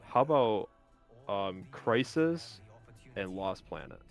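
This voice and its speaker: Man, low pitch, tentative casual talk, panelist, faint music. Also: expressive, slow pace, gravelly. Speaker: panelist on a live-streamed round table. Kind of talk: casual group chat.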